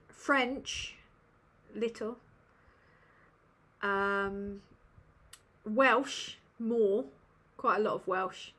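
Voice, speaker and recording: A woman's voice: mid-range voice, a bit bright. A woman with a British English accent hosting a casual livestream Q&A from a dim room at home, rambling and sweary with lots of ums. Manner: rambling and sweary, with many fillers. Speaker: livestream host.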